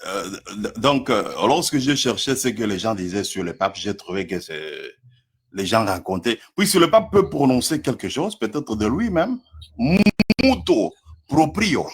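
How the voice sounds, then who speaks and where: This is a man from Congo who speaks with a Congolese French accent. His voice is husky, and his pitch jumps around a lot. He stammers and hesitates as he defends himself in a live online debate, often breaking into a chuckle. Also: big pitch swings, a little slow.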